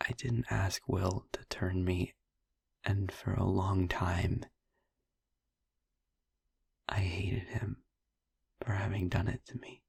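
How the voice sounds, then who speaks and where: A man playing a gentle, wistful vampire boyfriend in an intimate audio roleplay, speaking tenderly and hesitantly in a husky, breathy voice. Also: level tone, no echo, clean studio sound, heavily acted. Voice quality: husky, breathy, gravelly low voice. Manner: tender, hesitant, slow with pauses. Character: gentle, wistful male vampire boyfriend, youthful, haunted by past. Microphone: close, dry microphone.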